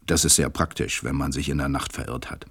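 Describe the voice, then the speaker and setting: An actor playing a grown pilot who wistfully recalls his life as a storyteller in a radio play, measured and reflective. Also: extremely low pitch, fairly even intonation, a bit bright, husky, exaggerated voice. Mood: wry, reflective.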